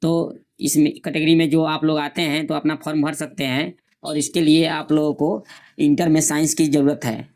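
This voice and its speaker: A man's voice: on the high side, level tone, normal speed, darkish tone. A man giving an informal screen-share tutorial, repeating himself as he reads out notice details and instructions. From India. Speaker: online centre presenter explaining job notifications.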